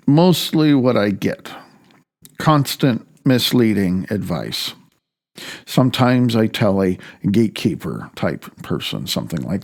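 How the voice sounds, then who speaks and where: A man from the United States reading his own self-help audiobook in a wry, deliberate way with pauses. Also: mid-range voice, creaky, a little mellow. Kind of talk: self-help audiobook narration with personal commentary. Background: faint music.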